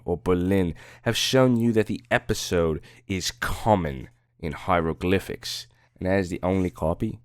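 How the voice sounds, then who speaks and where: Man playing deep-voiced indignant, scornful Egyptologist. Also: no echo, clean studio sound. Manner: emphatic, scornful rhetorical questioning. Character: indignant Egyptologist traveller, quick-tempered, scornful and proud. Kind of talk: dramatic audiobook reading.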